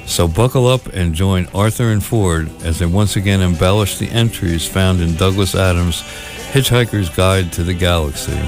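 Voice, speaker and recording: A man's voice: deep voice, normal speed, over music. A man reading a scripted recap as the announcer of a radio theatre show. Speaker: announcer, narrator.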